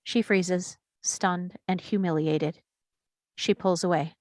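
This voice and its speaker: A woman narrates screenplay action and scene headings from a script. Her voice is on the low side, and the recording is very dry and close, free of room sound. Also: fairly even intonation, takes it slowly, darkish tone, no room sound. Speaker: stage directions reader.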